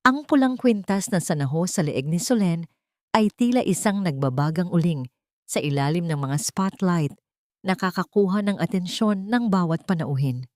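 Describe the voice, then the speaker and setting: A woman's voice: on the low side, animated, normal speed, a little mellow, dry recording, clean studio sound. A woman reading scripted fiction as a dramatic audio story narrator.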